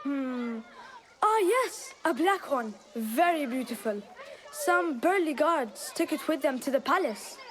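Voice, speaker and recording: A scruffy young street boy in a video game. The voice sits very high, with an exuberant, friendly, boastful way of talking. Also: animated, a little slow, a crowd in the background, background noise. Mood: chatty. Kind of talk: video game cutscene acting.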